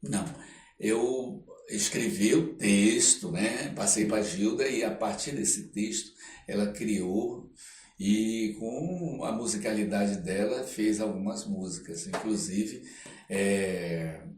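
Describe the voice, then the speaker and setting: A Brazilian man with a lowish voice tells stories as an interview guest, reflective and rambling. He speaks very slowly and often closes phrases with a little checking tag. The room is echoey, with faint noise behind him. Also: darkish tone. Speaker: interview guest, performing artist. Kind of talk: casual storytelling in a podcast interview.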